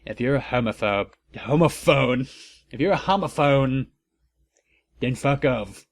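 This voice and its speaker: A man's voice: medium pitch, animated, no echo. A man narrating a story aloud as a theatrical comedic reading, with mocking asides. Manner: theatrical, deliberately reproducing misspellings. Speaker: narrator and host reading fan fiction aloud.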